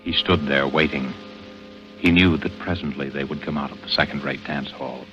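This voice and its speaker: An ominous radio storyteller with an extremely deep voice. He narrates a vintage thriller gravely and deliberately, over prominent music on a dull old recording. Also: fairly even intonation, a bit bright. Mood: hushed, ominous. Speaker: announcer and narrator. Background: dramatic music.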